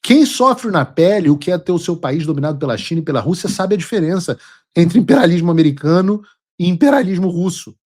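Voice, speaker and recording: Husky-voiced Brazilian man ranting emphatically. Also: sits high, expressive, brisk, a little mellow. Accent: Brazilian Portuguese. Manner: blunt, emphatic, mocking, swears casually. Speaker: show host. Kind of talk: opinionated political commentary.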